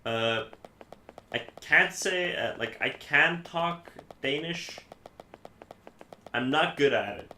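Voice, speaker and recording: A man from Iceland with an Icelandic accent, a native Icelandic speaker, chatting casually as a game streamer in a halting, rambling, self-correcting way. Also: fairly high pitch, bright, forceful tone. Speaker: live streamer playing games. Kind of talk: casual chat while gaming. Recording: echoey room, faint background noise.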